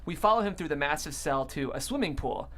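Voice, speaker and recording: A woman with a very lively, swinging delivery reads stage directions. She is the narrator and host of a comedy table read. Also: deep voice, fairly quick, a little hiss or hum.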